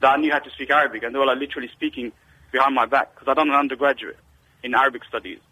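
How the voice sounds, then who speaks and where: A man on a call-in line talks quickly, stumbling through restarts and fillers as he tells his story. His voice is bright and a little husky. The sound is thin and phone-like. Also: medium pitch, steady tone, no room sound. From Britain. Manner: rambling, hesitant, many restarts and fillers. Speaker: caller.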